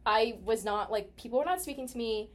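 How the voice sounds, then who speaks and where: A woman's voice: on the high side, a little fast. A young American woman in casual personal conversation, chatty and peppering her talk with "like". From United States. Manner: chatty, animated storytelling with heavy use of "like".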